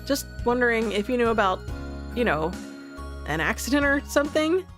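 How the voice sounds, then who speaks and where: Woman acting as teenage boy game protagonist, casual, easygoing, laid-back delivery, soft music behind. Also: a little high, very animated, moderate pace. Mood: probing.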